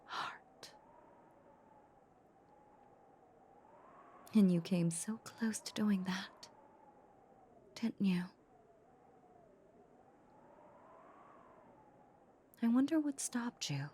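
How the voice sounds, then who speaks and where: A woman playing a possessive, seductive vampire in a roleplay audio drama, teasing her captive with menacing sweetness in a breathy near-whisper. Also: on the low side, warm tone, a little hiss or hum.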